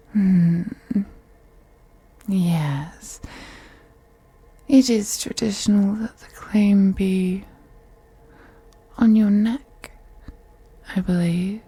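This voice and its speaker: A woman's voice: medium pitch, dark tone, full character voice. A woman playing a wealthy, possessive female vampire in an intimate audio roleplay, speaking in a whispery, teasing, condescending way. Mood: condescending, playful. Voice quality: breathy, whispery. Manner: slow, purring, condescending, deliberate.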